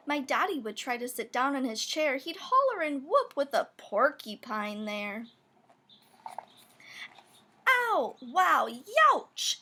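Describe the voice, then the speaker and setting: A woman's voice: high voice, bright, forceful tone, husky. A woman reading a rhyming children's picture book aloud, with playful emphasis on the sound words. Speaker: storybook reader.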